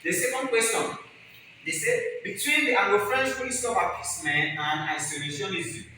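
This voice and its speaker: Male lecturer, bright and forceful, explains step by step with emphatic repetition; heavily echoing, slightly noisy recording. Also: high-pitched, normal speed. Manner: emphatic, repeats key phrases. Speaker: history teacher. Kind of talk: exam lecture, explaining point by point. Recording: very echoey noisy hall, far from mic.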